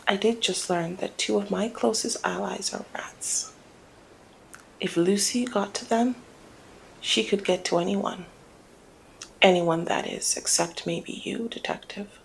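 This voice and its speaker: A woman playing a flirty, cunning female mob boss in an intimate ASMR roleplay, speaking in a teasing, deliberate murmur that often slips toward a whisper. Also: mid-range voice, slight background noise, rough recording. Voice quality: breathy creaky murmur, often dropping to whisper. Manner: teasing, deliberate, drawn-out phrasing.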